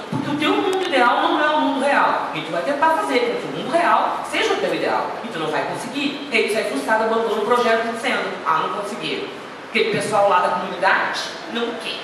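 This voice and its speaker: A woman giving a chatty, anecdotal talk to an audience, with self-deprecating asides. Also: fairly high pitch. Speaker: guest speaker. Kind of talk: informal lecture. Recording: echoey hall, speaker far from the mic, noisy.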